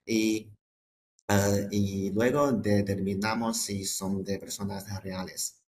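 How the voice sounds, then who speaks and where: A man presenting products in a webinar, halting and hesitant, often repeating words and breaking off phrases. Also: on the low side, fairly even intonation, a little mellow.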